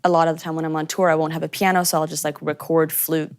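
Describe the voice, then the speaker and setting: A woman's voice: low-pitched, level tone, fast. A young woman with an American accent, raised in Sweden, chatting as a guest musician in an interview, talking animatedly with many fillers and restarts. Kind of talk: casual interview chat.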